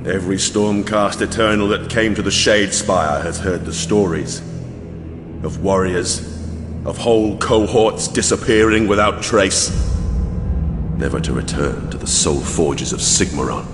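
An actor playing a devout, haunted and desperate warrior knight in a tormented, halting, dramatic audiobook style. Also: male voice, sits low. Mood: bitter realization. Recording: long cavernous reverb, music underneath. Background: music.

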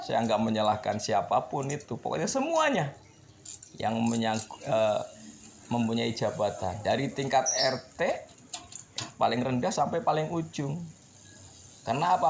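A man's voice: medium pitch, creaky, noisy background. A middle-aged man chatting casually with viewers while he fixes a bike in his repair shop, rambling and laughing easily. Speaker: bike mechanic vlogger. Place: bicycle repair shop, indoors.

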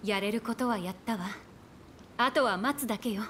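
A woman's voice: on the high side, moderate pace, a bit bright, a little hiss or hum, natural voice. A woman playing a composed, sober healer in a video game cutscene. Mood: grave, hushed.

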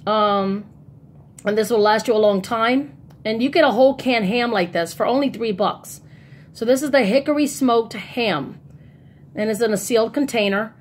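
A woman's voice: on the high side, moderate pace. A woman chatting casually and informally in a rambling style, as a vlogger showing off her shopping. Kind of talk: casual haul vlog chat.

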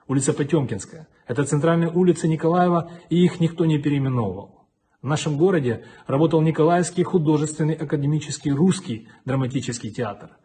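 A man's voice: a little high, mellow, slightly rough. A middle-aged man, a city mayor, giving a stern and defiant video address from an indoor office with flags behind him, close to a webcam. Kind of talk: video address to enemy soldiers.

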